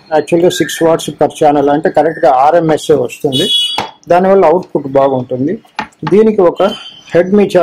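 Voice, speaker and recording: A middle-aged or older man gives an informal repair demonstration, explaining in short, halting phrases and repeating himself. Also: fairly high pitch, mellow, slight background noise, rough recording. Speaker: electronics repair technician and presenter.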